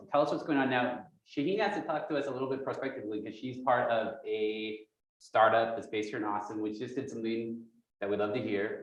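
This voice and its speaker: A man moderating a live panel in a casual, chatty way, with rambling informal asides. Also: medium pitch. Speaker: event moderator. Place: large echoey room at live event. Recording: distant mic in echoey room, dull.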